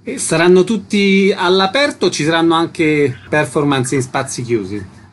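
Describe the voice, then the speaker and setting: A man's voice: a little high, expressive, moderate pace, slight background noise. A man hosting a radio show, giving concise announcer-style introductions and asking short questions. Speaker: radio host, interviewer. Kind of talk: radio presenting and interviewing.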